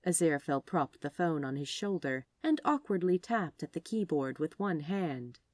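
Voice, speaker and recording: A woman's voice: low voice, big pitch swings, normal speed, a little mellow, dry recording, studio quality. A woman narrating scripted fiction expressively, as a storyteller reading aloud.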